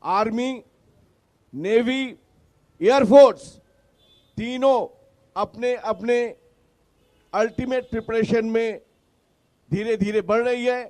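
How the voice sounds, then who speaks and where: A man's voice: extremely high pitch, slow, long pauses, darkish tone. A man speaking as a ruling party spokesman in a political TV debate, combative and repeating his points. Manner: combative, repetitive, interjecting.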